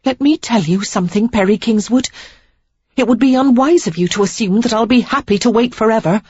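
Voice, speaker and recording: A woman's voice: on the high side, fairly quick, a little mellow, slightly rough, muffled recording, pitched above the performer's own voice. A woman reader voicing an upset young woman who pleads and insists, close to tears. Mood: pleading, resolute. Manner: pleading, emphatic, near tears. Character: young woman, frustrated and resolute suitor.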